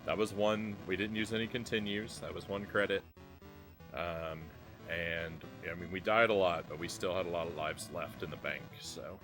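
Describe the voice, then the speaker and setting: A man with a low voice talks to his live-stream audience between retro games. He is rambling and self-deprecating, restarting his sentences often. Faint music plays underneath. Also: moderate pace, fairly bright tone, poor audio. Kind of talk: casual live-stream chat while gaming.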